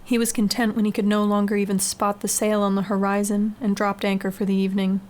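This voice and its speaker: A woman's voice: mid-range voice, flat delivery, quick pace, a little mellow. A woman reading a scary short story as a scripted audiobook-style narration. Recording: clearly noisy background ambience.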